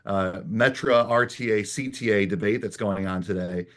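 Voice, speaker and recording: A male panelist with a fairly low voice who walks through legislation step by step. He explains the details in an analytical way rather than debating. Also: normal speed, fairly bright tone. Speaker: panel guest. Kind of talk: political panel discussion.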